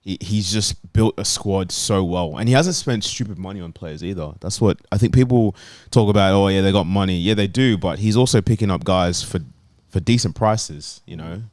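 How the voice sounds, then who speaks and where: Deep-voiced man analysing football. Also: moderate pace, hardly any pauses, darkish tone, no echo, studio quality. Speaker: football podcast panellist.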